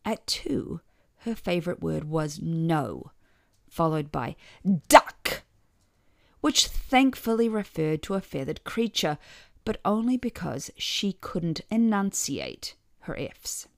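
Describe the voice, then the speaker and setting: A woman's voice: deep voice, very animated, slow, gravelly, no room sound. Wry woman storyteller, dry close sound. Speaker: podcast author and narrator. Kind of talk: scripted first-person story narration.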